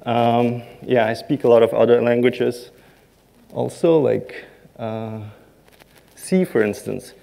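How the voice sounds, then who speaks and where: A man in his thirties whose first language is Serbo-Croatian, giving a conference talk from a large stage in a halting way, full of ums and self-deprecating asides. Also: mid-range voice, fairly even intonation, vocal fry, mellow. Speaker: conference speaker.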